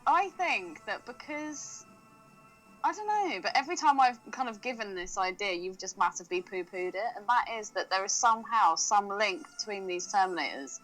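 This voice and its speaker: A woman's voice: medium pitch, expressive, moderate pace, fairly bright tone, poor audio. A woman co-hosting a podcast in casual chat, informal and slangy, with some swearing. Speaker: podcast co-host. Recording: music playing in the background. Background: film soundtrack music.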